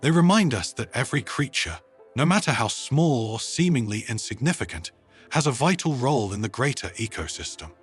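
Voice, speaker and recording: Man reading as an eager woman scientist, animated pitch. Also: a little fast, slightly rough, faint music behind. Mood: earnest passion. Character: enthusiastic, earnest female human scientist proudly presenting worms.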